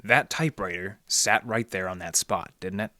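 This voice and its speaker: An actor playing a wry, hard-boiled library detective in the style of noir radio drama, with deadpan wisecracks. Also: male voice, fairly low pitch, normal speed, no room sound, clean studio sound. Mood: probing. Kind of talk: 1940s radio detective acting, narration and dialogue.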